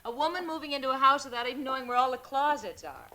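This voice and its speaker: An actress playing a chatty, coaxing young wife in 1940s radio acting style. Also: female voice, sits high, animated, fast, sharp tone. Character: cheerful, fussy young wife setting up home.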